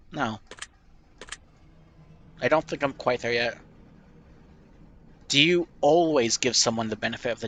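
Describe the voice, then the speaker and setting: A nasal-voiced young man casually reflecting out loud on personal questions while playing a game, thoughtful and self-deprecating. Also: mid-range voice, a little hiss or hum.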